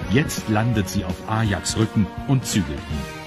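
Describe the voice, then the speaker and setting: A man's voice: lowish voice, animated, normal speed, over loud music. A man narrating a children's audio drama in a scripted storytelling style. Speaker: narrator of a children's radio play.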